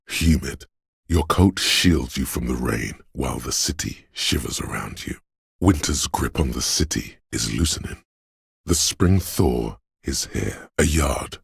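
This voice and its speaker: A man voicing a brooding inner voice that senses a rainy city, narrating a video game in a slow, solemn, poetic and deliberate way with a gravelly, husky tone. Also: a bass voice, no echo, clean studio sound, exaggerated voice. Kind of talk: scripted atmospheric second-person narration.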